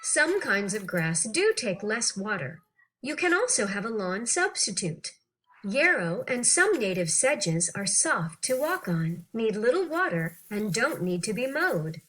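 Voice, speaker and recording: A woman reading an interview text aloud for children in an animated, clearly phrased, teacherly way. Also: medium pitch, measured pace. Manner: animated, clearly phrased, acting out questions and answers.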